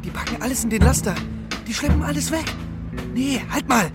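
A cocky, streetwise little bird played by an actor in a children's radio play, brash and greedy. The talk is slangy and full of exclamations, at a middling pitch, with loud music underneath. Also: lively intonation, brisk, natural voice. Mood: agitated.

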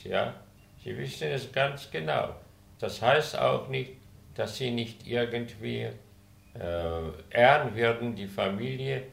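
An older man with a Czech accent, a native Czech speaker, speaking German haltingly in an interview. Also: medium pitch, gravelly, fairly bright tone. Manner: halting, searching for words, frequent hesitations. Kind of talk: conversational interview. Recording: roomy room sound.

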